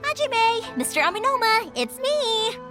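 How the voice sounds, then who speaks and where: Game role: chatty, upbeat young fireworks maker; very high pitch, lively and expressive. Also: female voice, a little slow, music in the background, full character voice. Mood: eager, pleading. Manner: bubbly, expressive, emphatic. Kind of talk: animated video game dialogue.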